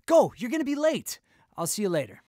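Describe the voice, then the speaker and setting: A man playing a friendly, easygoing teenage boy in an animated series. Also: extremely high pitch, fairly quick, dry recording, clean studio sound, exaggerated voice.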